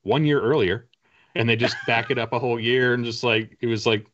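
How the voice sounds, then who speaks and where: A man's voice: medium pitch, steady tone, fairly quick, a bit bright. A middle-aged man chatting casually as a guest author in an interview, rambling enthusiastically through his stories. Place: indoor room with bookshelves, on a video call. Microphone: video call. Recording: slightly dull video-call audio.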